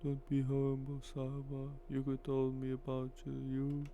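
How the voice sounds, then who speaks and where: A man voicing a flattering female club henchwoman in a visual novel, coaxing and deliberate. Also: medium pitch, slight background noise, lightly acted.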